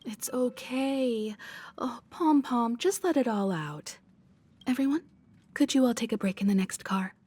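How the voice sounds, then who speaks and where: A woman voicing a gentle, motherly crew member in a video game, speaking in a soothing, hushed manner. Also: on the high side, animated, unhurried, breathy edge, no echo. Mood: tender soothing. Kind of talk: video game character acting.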